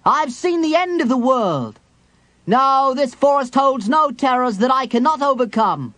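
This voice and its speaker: Defiant warrior man voiced by a male reader, declaiming, pitched up, animated, dry sound. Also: measured pace, no echo, heavily acted. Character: big brave human warrior man, frightened.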